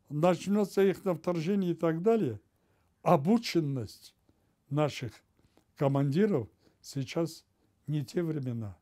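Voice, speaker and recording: Older man, interview guest, higher pitch, mellow timbre, forceful delivery. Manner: emphatic, gesturing with a pointing finger. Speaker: retired general interviewed. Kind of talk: interview answers. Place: indoor study with bookshelves.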